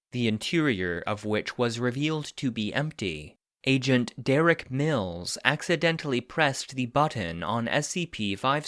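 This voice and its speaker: Guy doing a formal database voice reading logs, medium pitch, dry close sound, measured and clinical. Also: fairly bright tone, no echo. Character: impersonal male database voice reading containment logs. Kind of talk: scripted narration of clinical report.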